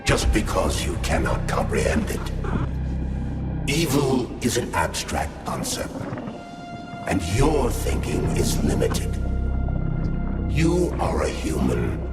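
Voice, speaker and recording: An actor playing a cold, contemptuous post-human villain in a video game, with haughty, scornful delivery. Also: male voice, low voice, creaky, heavily acted. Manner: haughty, scornful, slow pronouncements. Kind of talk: dramatic video game acting. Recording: roomy echo under loud music.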